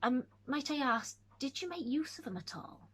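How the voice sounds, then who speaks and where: A woman voicing an old rustic male servant who tells a grim tale in dialect, hushed and earnest. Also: sits high, expressive, moderate pace, pitched above the performer's own voice. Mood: deferential.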